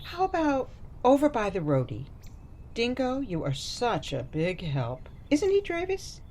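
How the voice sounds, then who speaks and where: Gushing grandma for radio drama woman actor: unhurried, lilting widely, some noise and ambience behind her. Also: a little high, wildly swooping pitch, a little mellow. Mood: cheerful, doting. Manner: gushing, cutesy, doting. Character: sweet, gushing, doting grandmother. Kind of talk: radio play acting.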